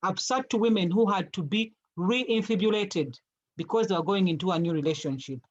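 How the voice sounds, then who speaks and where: A woman's voice: mid-range voice, a little fast, lo-fi sound. A woman with a Ugandan accent speaking as a guest in a panel discussion, explaining point by point with emphasis. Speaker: guest speaker, charity founder and campaigner.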